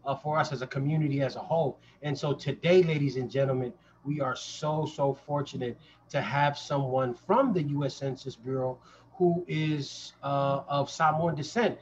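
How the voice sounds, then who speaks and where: A man's voice: mid-range voice, moderate pace. A man in his thirties hosting a casual live show and interviewing a guest over a video call. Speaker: podcast host. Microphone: podcast mic with headset. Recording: slightly dull video-call audio.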